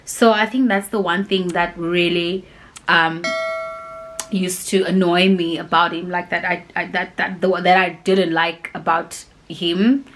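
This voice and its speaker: A woman's voice: on the low side, a little slow, slight background noise, low-quality recording. A woman, chatting casually and rambling as she answers viewer questions, with lots of fillers. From grew up in Cape Town, South Africa. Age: 20s. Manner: rambling and animated, many "like" and "you know" fillers.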